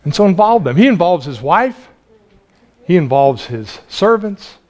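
A man in his forties preaching a sermon in a small church, chatty and lively, laughing often and restarting his phrases. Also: high-pitched, unhurried. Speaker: pastor.

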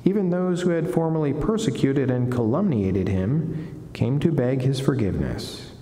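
A middle-aged man, a friar, giving a solemn devotional reading in a measured, deliberate, formal way from a lectern in a reverberant chapel. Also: mid-range voice, animated, dark tone. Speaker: friar reading a saint's life and reflection. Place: wood-panelled chapel, indoors. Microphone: lectern gooseneck microphone. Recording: echoey chapel with long reverb.

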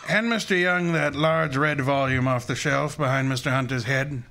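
A man's voice: medium pitch, moderate pace, gravelly, pushed and bright. A man playing an imperious, erudite master detective in a radio drama, speaking in a formal, precise and pompous way. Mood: imperious, accusing. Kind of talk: old-time detective radio drama acting.

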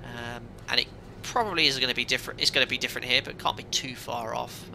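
A man who flies airliners for a living calmly commenting on a simulator landing and reading out checklist items as he goes. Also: mid-range voice, quick pace, gravelly, slight background noise, rough recording. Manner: calm running commentary, reads out checklist items. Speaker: airline pilot streaming a flight simulator. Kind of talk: live commentary while flying.

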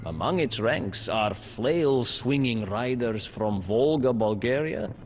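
A slow, solemn war chronicler. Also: male voice, medium pitch, lively intonation. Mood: grave. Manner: slow, measured storytelling. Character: male captive chronicler telling a war tale. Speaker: campaign narrator. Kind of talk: scripted storybook narration. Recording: studio narration over faint music.

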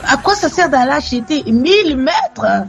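A woman's voice: sits high, big pitch swings, normal speed, gravelly, soft background music. A woman calling in to a radio debate, insistent and repeating her points. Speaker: caller.

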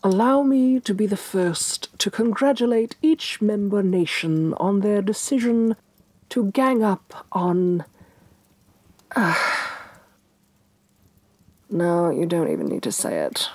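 A woman playing a haughty, scheming evil fantasy queen in an ASMR roleplay, speaking softly and breathily with imperious, deliberate disdain. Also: medium pitch, animated, no echo. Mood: exasperated disdain. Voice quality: soft, breathy. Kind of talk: soft-spoken ASMR roleplay.

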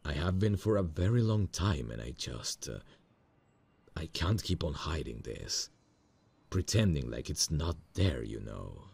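A man playing a nervous, lovestruck boss in an intimate romantic ASMR roleplay, speaking hesitantly and tenderly in a husky voice. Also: very animated, exaggerated voice. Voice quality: very deep, gravelly, husky. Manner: hesitant, slow, soft-hearted. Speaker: voice actor in romantic audio roleplay.

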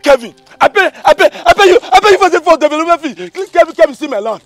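A man's voice: very high-pitched, lively intonation, quick pace, soft background music. A man with a Nigerian accent playing an aggrieved, pleading emigrant cheated out of land in a comedy skit, plaintively repeating phrases. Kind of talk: comedy skit acting in Pidgin English.

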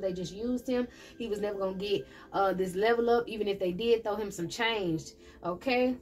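A woman giving a casual tarot reading, chatting straight to her viewers. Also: medium pitch. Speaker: tarot reader. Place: indoor table with candles and plants.

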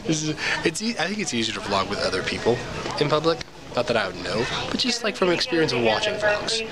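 A man's voice: on the high side, big pitch swings, fast talker, over a noisy crowd. A man in his twenties vlogging casually to a handheld camera, rambling and joking at himself while he shops. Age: 20s. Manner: rambling, self-mocking, jokey asides. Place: supermarket, gas station and hardware store. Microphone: handheld camera at arm's length. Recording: loud store and street noise.

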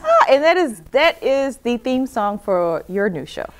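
Female talk show host in a TV studio, fairly high and animated voice, lapel mic, short affirming replies. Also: measured pace, very noisy. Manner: brief affirming backchannels. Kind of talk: interview hosting. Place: tv studio set.

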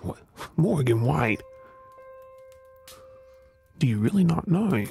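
A man with an Australian accent acting a protective, tormented young werewolf boyfriend in an audio roleplay, halting and intense. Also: on the high side, sing-song, gravelly, warm tone, exaggerated voice. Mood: astonished. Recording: very reverberant, music underneath.

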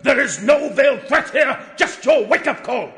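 A man's voice: extremely high pitch, animated, moderate pace, full character voice. A man voicing a pompous, outraged company executive in a theatrical dramatic reading of threatening emails. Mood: outraged bellowing. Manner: pompous, overwrought, swinging from hushed to shouting. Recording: long echoing hall reverb.

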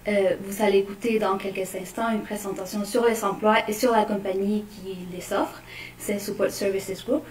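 A young woman with a medium-pitched voice introduces an event as its host. The room echoes around her, she is a little way from the mic, and faint noise sits behind. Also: fairly even intonation, brisk, a little mellow, far from the mic. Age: young adult. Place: meeting room indoors.

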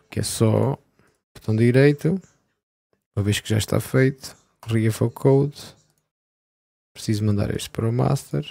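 A man talking through a software tutorial step by step over a screen recording, in a relaxed explaining way. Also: fairly low pitch, creaky, no echo, clean studio sound. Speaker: tutorial host.

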